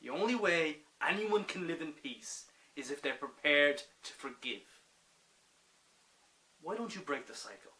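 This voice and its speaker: An actor playing an insistent, sarcastic peacemaker in a dramatic monologue, full of rhetorical questions. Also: male voice, on the high side, expressive, a little slow, pushed and bright, low-quality recording. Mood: earnest, pleading. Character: impassioned, pleading peacemaker challenging a rebel with sarcasm.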